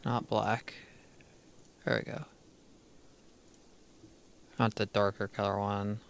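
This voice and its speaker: A man's voice: on the low side, little pitch movement, slow pace, clean tone. A man streaming a game live, thinking aloud as he plays, half-muttering his plans, with a crackly voice from a dry throat. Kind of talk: casual live gameplay commentary.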